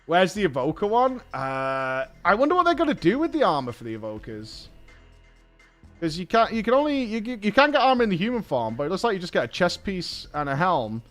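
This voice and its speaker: A man's voice: sits high, huge pitch swings, fairly quick, fairly bright tone, faint music behind. A British man hosting a gaming show, giving casual, blunt live reactions and thinking aloud.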